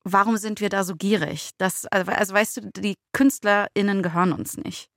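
A woman's voice: mid-range voice, fairly even intonation, brisk, fairly bright tone, no room sound, studio quality. A woman co-hosting a podcast, debating in a casual but firm and insistent way. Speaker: podcast co-host and radio play author.

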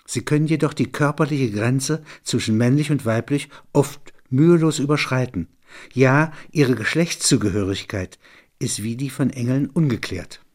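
A man narrating literary and philosophical reflections in measured, deliberate phrases. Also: medium pitch, a little mellow.

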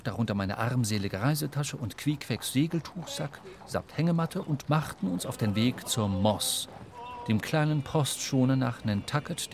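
Male actor as seafaring narrator, medium pitch, measured, expressive, literary storytelling, noticeable ambient noise. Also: lightly acted. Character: reflective seafaring male narrator recalling his adventure. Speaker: first-person narrator. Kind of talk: scripted literary narration, audio drama. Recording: clear ambient noise behind voice.

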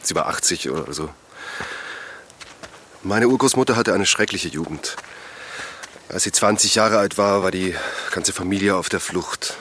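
Grounded middle-aged father, deep voice, dry and calm, faint noise. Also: moderate pace, pauses often. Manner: calm, dry, brief replies. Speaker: local villager. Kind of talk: audio drama acting.

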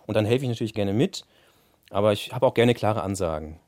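A man's voice: on the low side, lively intonation, fairly quick, a little mellow, dry recording. A man working as a studio engineer, talking casually in an interview with frequent colloquial tag questions.

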